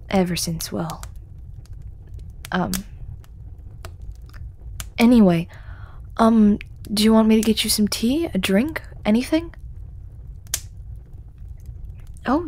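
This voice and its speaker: A performer voicing a shy, hooded male storyteller, centuries old, who speaks softly with lots of hesitant stammering and whispers in an audio roleplay. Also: mid-range voice, slow pace, pauses often. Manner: hesitant and stammering, many ums and restarts; soft-spoken, often whispering.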